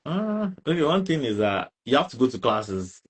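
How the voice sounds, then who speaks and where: A man's voice: mid-range voice, sing-song, moderate pace, slightly rough. A young man with a Nigerian accent, answering casually as a student in an interview and often adding little tag questions. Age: young adult. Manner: informal, frequent tag questions like "right?" and "you get".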